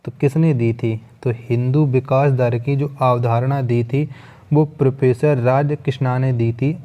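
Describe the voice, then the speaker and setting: Male exam-prep teacher, relaxed dark tone, mid pitch, repeats each question and answer for learners. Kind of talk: quiz lesson, reading questions and answers.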